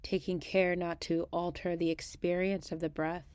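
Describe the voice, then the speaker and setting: A woman guiding a meditation in a gentle, unhurried manner, leaving long pauses between short phrases. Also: lowish voice, level tone, clean tone, no echo. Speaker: meditation guide. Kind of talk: guided body scan meditation.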